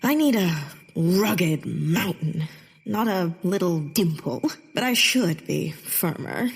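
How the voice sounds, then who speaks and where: A woman's voice: on the low side, lively intonation, slow, gravelly, slightly rough, exaggerated voice. An actress playing a bitter, theatrical Victorian woman poet in a comedic period audio drama, with a haughty, sardonic delivery. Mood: exasperated, weary.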